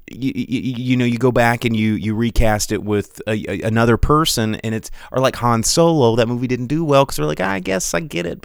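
Animated rambling male podcast host. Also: mid-range voice, clear, resonant tone, dry recording, clean studio sound. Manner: rambling, animated rants with many restarts. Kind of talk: casual solo movie commentary.

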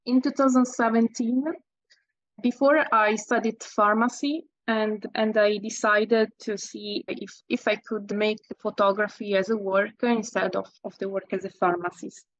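A woman in her thirties with an Italian accent, answering interview questions in halting English with many restarts. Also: medium pitch, level tone, no echo, low-quality recording. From Italy. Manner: halting, many restarts and fillers, laughs often. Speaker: guest, landscape photographer.

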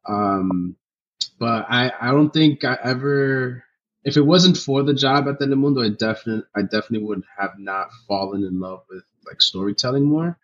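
A young man from New Jersey, a filmmaker guest on a podcast, talking casually in a relaxed, rambling way full of "you know" and "like". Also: fairly low pitch, dull audio. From New Jersey, USA. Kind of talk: casual interview chat.

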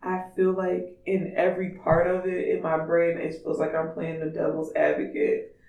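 A man with a raspy voice chatting candidly and emphatically as a podcast co-host. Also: fairly high pitch, completely flat delivery, normal speed, a little mellow, muffled recording. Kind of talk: casual opinionated podcast chat. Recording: distant mic in echoey room.

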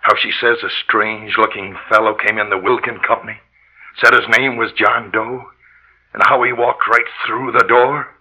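An actor playing a haunted, obsessed morgue worker, brooding and intense in halting 1940s radio drama style. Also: male voice, fairly low pitch, flat delivery, sharp tone, breathy edge, heavily acted. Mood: insistent. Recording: dull lo-fi old radio recording.

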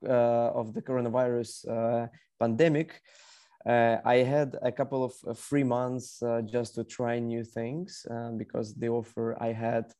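A man's voice: mid-range voice, level tone, measured pace, warm tone, clean tone. A young man from Ukraine with a Ukrainian accent, answering as an executive guest in a conversational podcast interview. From Kyiv, Ukraine.

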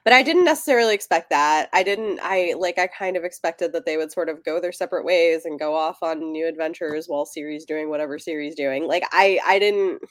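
A woman's voice: low-pitched, brisk, hardly any pauses, no room sound. A woman co-hosting a podcast in a casual book discussion, speaking tentatively and often correcting herself as she goes. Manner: hesitant, self-correcting, tentative phrasing. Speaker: podcast co-host.

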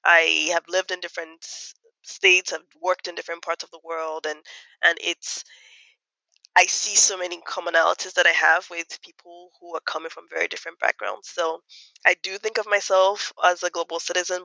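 A woman from Nigeria giving thoughtful interview answers as a guest, measured and reflective with fillers. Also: lowish voice, bright, forceful tone, no echo, dull audio. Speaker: guest economist.

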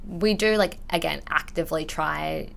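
A woman's voice: deep voice, moderate pace, creaky, fairly bright tone, a little hiss or hum. A woman in her twenties with an Australian accent, talking candidly and informally in a conversational interview. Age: 20s. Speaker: intellectual property investigator, podcast guest.

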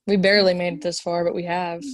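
A woman's voice: fairly low pitch, little pitch movement, quick pace, no room sound, studio quality. A woman in her twenties co-hosting a podcast in casual chat, blunt and teasing, laughing often. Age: 20s. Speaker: podcast co-host.

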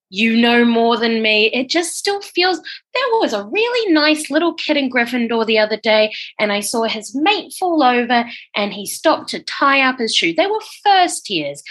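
A woman's voice: a little high, bright, forceful tone, full character voice. A woman playing an eager, kind-hearted newcomer ghost in an improvised comedy sketch, chatty and a little hesitant. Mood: protesting.

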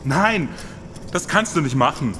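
A man's voice: animated, a little slow. A man acting an anxious podcaster on a perilous expedition in a scripted radio play. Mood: panicked. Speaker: podcast performer. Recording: noisy sound-effect background, vehicle-like rumble.

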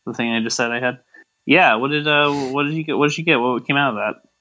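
A man's voice: medium pitch, quick pace, pushed and bright. A man co-hosting a podcast, riffing sardonically in casual comedic chat. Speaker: podcast co-host.

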